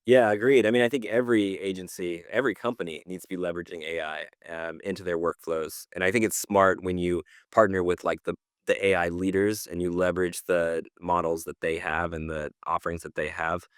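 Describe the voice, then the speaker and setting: A man's voice: low-pitched, steady tone, a little fast, few pauses, clear, resonant tone, no echo, studio quality. A middle-aged man co-hosting a podcast, chatting casually about news in an informal, slangy way. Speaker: podcast co-host presenting industry news. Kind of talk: casual chat.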